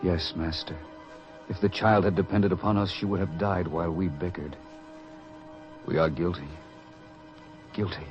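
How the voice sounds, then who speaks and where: Deep-voiced earnest actor as disciple Andrew. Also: male voice, fairly even intonation, close to an everyday voice. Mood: remorseful. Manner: earnest, formal, deliberate phrasing. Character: Andrew, earnest male disciple, conscientious and troubled. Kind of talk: old-time religious radio drama acting. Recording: muffled radio recording with music.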